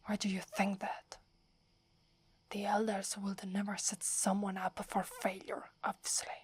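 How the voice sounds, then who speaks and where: A woman's voice: medium pitch, monotone, unhurried, breathy edge. A woman from Italy with an Italian accent, whispering as a proud, wary young female werewolf who is prickly and defensive. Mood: grudging. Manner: haughty, grudging, defensive. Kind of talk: ASMR roleplay.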